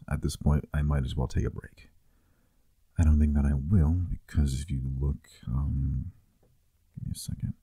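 Male actor as teasing, loving boyfriend for ASMR roleplay; extremely dark, mellow, husky voice, close-miked. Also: a bass voice, no echo, exaggerated voice. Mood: weary, resigned. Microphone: close microphone.

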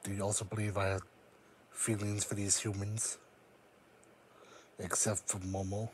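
A man voicing a brooding, proud cloned psychic creature in an audio roleplay, speaking solemnly with dramatic, deliberate pauses. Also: lowish voice, flat delivery, slight background noise, natural voice. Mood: guarded. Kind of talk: scripted ASMR audio roleplay monologue.

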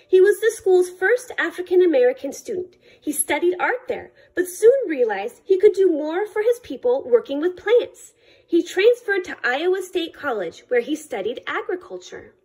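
A woman reading a children's picture book aloud as a librarian, in careful, deliberate short phrases. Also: very high-pitched, a little mellow.

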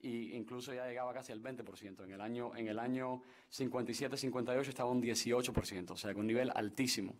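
A young man speaks at a conference panel table and answers a question at length, stumbling over fillers and restarting his phrases. His voice sits at an ordinary pitch. It carries the hollow ring of the room and sounds a little distant from the microphone. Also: steady tone, fast talker, hardly any pauses. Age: young adult. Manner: hesitant, many fillers and restarts. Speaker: book co-author on a panel. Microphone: table stand mic. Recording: roomy, distant from the mic.

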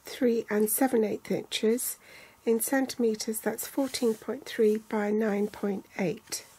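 An older woman calmly walking through a card-making tutorial step by step, reading out measurements in inches and centimeters. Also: on the high side, husky. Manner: calm, measured, reads out sizes in inches and centimeters.

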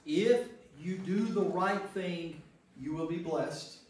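American guy pastor teaching bible study, kinda high voice, emphatic, super echoey room w some hiss. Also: slow. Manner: emphatic, repeats phrases for stress. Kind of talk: informal teaching with group discussion. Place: indoor church meeting room. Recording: echoey room, distant mic, faint noise.

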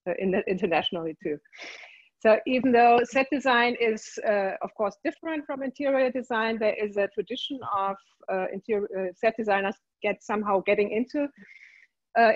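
A woman's voice: medium pitch, dry recording. A woman in her forties from Germany with a German accent, explaining her work as an interior design director in a video call interview. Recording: dull lo-fi video call audio.

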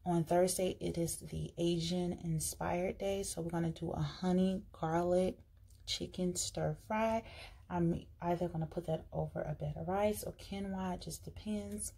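Husky, low-voiced woman chatting through a plan. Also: steady tone, unhurried, dark tone. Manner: chatty, with fillers and restarts. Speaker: vlogger. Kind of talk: casual vlog, planning meals while writing. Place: indoors at a table.

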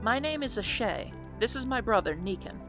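An actress playing a friendly, practical young adventurer, sincere and reassuring, in an audio drama. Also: female voice, fairly high pitch, lively intonation, normal speed, music in the background, close to an everyday voice.

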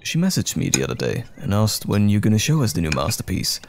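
A man playing a loving, caring boyfriend in an intimate comfort audio roleplay, speaking gently and soothingly with affectionate teasing. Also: on the low side, wildly swooping pitch, fast talker, a little hiss or hum. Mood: encouraging.

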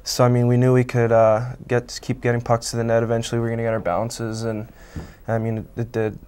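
A man's voice: medium pitch, brisk, slight background noise. A young man in his twenties from Canada, a college hockey player answering interview questions casually in a small TV studio, with lots of "um" and "I mean.". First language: Italian. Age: 20s. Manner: relaxed, many fillers like um and I mean. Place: small local TV news studio, indoors. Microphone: lapel mic.

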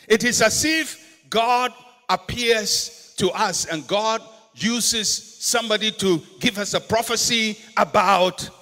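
An older man with a Ghanaian accent delivers an emphatic sermon with a bright, ringing tone set high for a man. He slows down to land key words and builds up to shouts. The sound carries a long reverb from a big auditorium. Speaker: pastor. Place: large church auditorium stage. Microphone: handheld microphone.

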